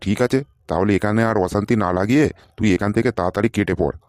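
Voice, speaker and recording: A man's voice: fairly low pitch, fast talker, background noise, natural voice. A man voicing an irritable, sarcastic boss in a comedy cartoon, scolding and dismissive. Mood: angry, exasperated. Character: irritable, sarcastic male boss interviewing job seekers.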